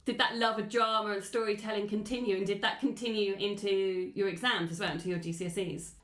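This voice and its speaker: A woman's voice: medium pitch, steady tone, fairly quick, a bit bright. A woman interviewing conversationally as host, encouraging and affirming her guest.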